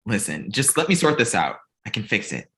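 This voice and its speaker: A man's voice: on the low side, very animated, fairly quick, bright, forceful tone. A man playing an earnest, exasperated male manager in a comedy table read, urgent and conversational. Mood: pleading.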